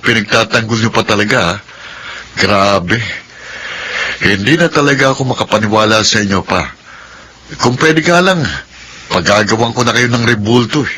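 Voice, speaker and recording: An actor playing an exasperated, resentful adult son in a radio drama, sarcastic and complaining. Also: male voice, fairly low pitch, normal speed, long pauses, pushed and bright, slight background noise. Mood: scornful, exasperated.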